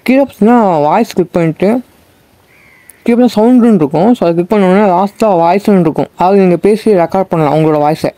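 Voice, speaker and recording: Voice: mid-range voice, fast, mellow, no room sound. A presenter giving a casual, step-by-step how-to narration. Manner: instructional, step-by-step. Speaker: tutorial presenter.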